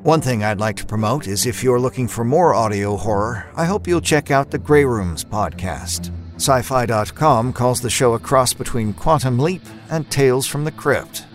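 Lively man hosting over music. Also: medium pitch, normal speed, few pauses. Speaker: podcast host. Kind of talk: scripted podcast outro and credits.

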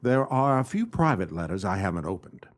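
A man's voice: on the low side, very animated, fairly quick. A man playing an attentive, curious and sceptical private secretary in a radio drama, politely questioning. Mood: polite, businesslike.

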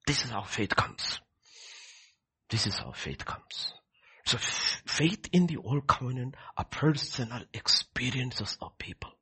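A man with a husky voice preaching a sermon, emphatic, repeating key phrases and swinging between hushed and loud delivery. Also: medium pitch, very animated, a little slow, rough recording. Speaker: preacher leading a prayer service.

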